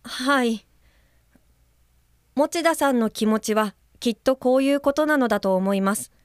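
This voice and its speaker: An actress playing a polite, conscientious young female guide-helper in a radio drama, reading a letter aloud in a soft, measured voice. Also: a little high, clean tone, no echo, studio quality, natural voice. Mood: earnest. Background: music under the voice.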